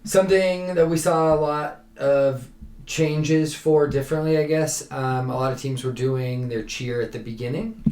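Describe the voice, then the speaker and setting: A man's voice: medium pitch, steady tone, normal speed, darkish tone, a little hiss or hum. A man co-hosting a sports podcast, chatting casually as a coach recapping competitions and giving opinions. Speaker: cheerleading podcast co-host and coach. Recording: roomy, echoey sound.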